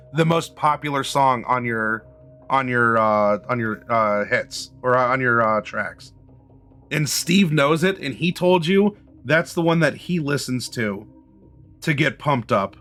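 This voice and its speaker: Halting man with a higher, squeaky voice. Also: fairly bright tone. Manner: halting, with frequent throat clearing. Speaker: game master. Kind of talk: improvised narration of a tabletop game.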